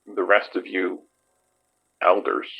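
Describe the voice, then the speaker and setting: A man playing a wary police detective in an audio drama, husky, terse and questioning. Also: low voice, completely flat delivery, slow, highs cut off, exaggerated voice. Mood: guarded.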